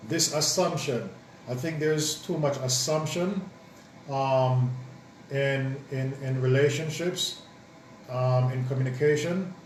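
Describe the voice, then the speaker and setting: Halting, thoughtful man in an echoey room. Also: medium pitch. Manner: thoughtful, halting with many ums and restarts. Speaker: co-host, married partner. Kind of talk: casual chat on marriage advice. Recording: roomy echo, distant mic, faint noise.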